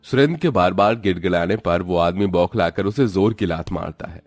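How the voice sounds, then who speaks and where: Male narrator for dramatic tales, low register, close dry recording, faint music bed. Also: a little fast, no room sound. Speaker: storyteller narrator. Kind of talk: scripted dramatic story narration.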